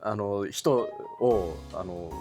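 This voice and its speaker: A man's voice: on the low side, extremely slow, over music. A man with a Kansai Japanese accent co-hosting a podcast with casual, teasing banter. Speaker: podcast co-host. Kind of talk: casual chat.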